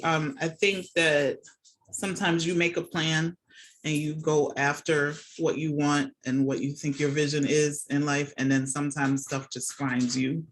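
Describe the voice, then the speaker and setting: A middle-aged woman from Jamaica who moved to the States as a child, chatting casually as a podcast guest and often checking in with the listener. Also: low-pitched. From born and raised in Jamaica, moved to the United States as a child. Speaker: podcast guest, nurse and academic. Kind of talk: casual conversational interview answers. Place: remote video call from home.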